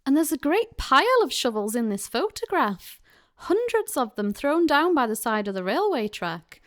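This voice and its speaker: A curious young investigator, played by a woman in a radio play, gentle and courteous. Her voice is high and lively, with wide swings in pitch. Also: normal speed. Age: young adult. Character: polite young female paranormal investigator.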